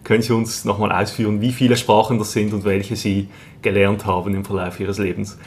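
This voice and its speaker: A man's voice: fairly low pitch, flat delivery, brisk, a little mellow, a little hiss or hum. A man hosting a science podcast, who asks an interview guest polite, formal questions.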